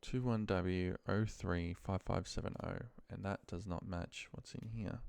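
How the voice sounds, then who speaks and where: Low, creaky man, casual tutorial. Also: fairly even intonation, a little slow, darkish tone, no echo. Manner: informal, thinks aloud, trailing into mumbled asides. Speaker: trainer demonstrating a data task. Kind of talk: casual unscripted screen tutorial.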